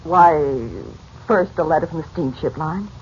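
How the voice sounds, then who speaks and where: A woman's voice: deep voice, measured pace, a little mellow. An actress playing an anxious, hopeful middle-aged mother, earnest and emotional, in 1940s radio serial style. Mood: wistful. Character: anxious, hopeful mother longing for lost husband. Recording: dull old radio recording, faint noise.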